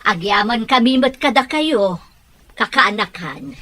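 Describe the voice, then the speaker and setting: An actress playing a caring middle-aged aunt in a radio drama, speaking in a kindly and reassuring way. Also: female voice, a little high, lively intonation, a little slow, pushed and bright.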